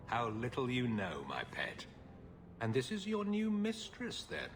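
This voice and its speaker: Slow, swooping, smug slave master. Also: male voice, mid-range voice, huge pitch swings, full character voice. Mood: smug, taunting. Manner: condescending, silky, taunting. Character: smug, menacing aristocratic slave master, older male magister. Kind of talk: video game voice acting. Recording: clear background noise.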